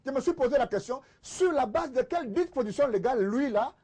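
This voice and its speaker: A man's voice: extremely high pitch, animated, fairly quick, a little mellow, husky. A man with a Congolese accent arguing insistently in a political TV debate, repeating phrases for emphasis. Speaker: debate panelist, political commentator.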